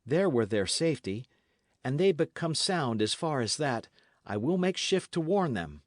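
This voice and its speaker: A man voicing a rough, commanding young squire who speaks forcefully in old-fashioned words. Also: medium pitch, sing-song, moderate pace, no room sound, clean studio sound, voice pushed up. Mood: urgent whisper.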